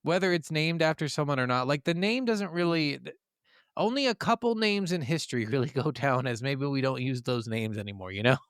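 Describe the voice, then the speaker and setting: Higher-pitched young man, casual and sweary. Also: animated, a little fast, clean tone, no echo, clean studio sound. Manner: profane, emphatic, opinionated. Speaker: podcast co-host. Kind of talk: casual gaming podcast chat.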